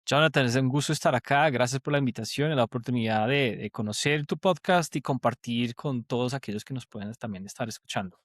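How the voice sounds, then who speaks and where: A man's voice: mid-range voice, fairly quick, hardly any pauses, no room sound. Guy from portugal, portuguese first language, talks easy and detailed, dry close mic. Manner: fluent, detailed, reflective with restarts. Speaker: guest, transport project leader. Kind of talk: conversational interview.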